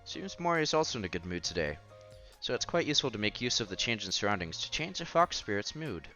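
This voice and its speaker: Bright-voiced man reading a visual novel, swooping pitch. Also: very animated, fast, lo-fi sound. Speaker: host reading a visual novel aloud for viewers. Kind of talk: casual read-aloud playthrough with side comments. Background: background music.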